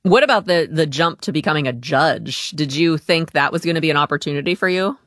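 A woman's voice: sits low, brisk, a bit bright, no room sound, clean studio sound. A woman hosting a radio interview show. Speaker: talk show host.

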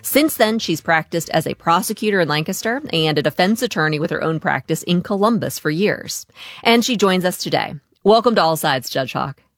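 A woman's voice: lowish voice, animated, brisk, no room sound, studio quality. A woman hosting a radio interview show. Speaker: talk show host.

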